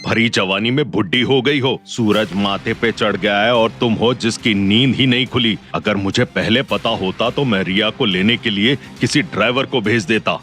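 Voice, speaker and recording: An actor playing a gruff older male martial arts master in an audio drama, blunt and folksy in manner. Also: fairly low pitch, moderate pace, hardly any pauses, fairly bright tone, soft background music, close to an everyday voice. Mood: irritated. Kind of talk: Hindi audio drama acting.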